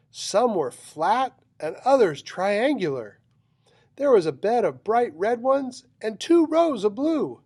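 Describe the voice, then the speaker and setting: A man with a slightly high voice reads a children's storybook aloud. He takes his time, giving the rhyming lines a dramatic, rhythmic delivery. The recording is close and dry. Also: darkish tone, breathy edge, no echo. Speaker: storybook reader. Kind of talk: expressive children's picture book read-aloud.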